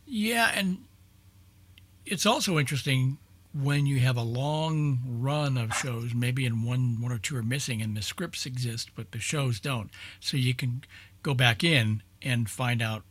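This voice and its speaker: A man's voice: medium pitch, measured pace, fairly bright tone. A man chatting casually about a hobby, as a participant in an informal radio talk. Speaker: old-time radio show participant discussing lost shows. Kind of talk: casual chat.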